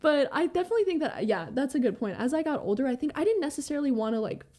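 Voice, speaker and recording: A young American woman, a podcast guest, talking candidly and fast in a rambling way, full of "like" and swearing. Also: high voice, expressive, warm tone. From American with Iranian family. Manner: fast rambling talk, heavy use of "like" and swearing. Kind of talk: candid casual conversation.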